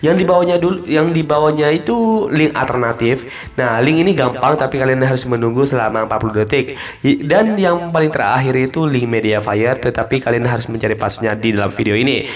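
A man's voice: mid-range voice, brisk. A man giving a casual, step-by-step app tutorial voice-over, informally repeating instructions and drawing out the ends of phrases. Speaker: tutorial host. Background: music.

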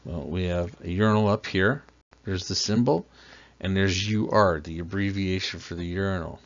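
A man's voice: deep voice, moderate pace. A man teaching a plumbing lesson, patiently explaining step by step and asking the listener questions. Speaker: plumbing instructor. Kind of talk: slideshow lesson narration. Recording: dull lo-fi voice-over.

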